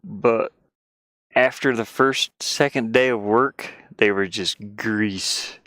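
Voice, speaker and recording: A man's voice: fairly low pitch, slow pace, fairly bright tone, no room sound, clean studio sound. A man chatting casually on a livestream as he works, rambling and thinking aloud inside a van with a heater running. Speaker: game developer livestreamer. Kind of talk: casual livestream chat while working. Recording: background noise from diesel heater fan. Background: heater hum and clicking.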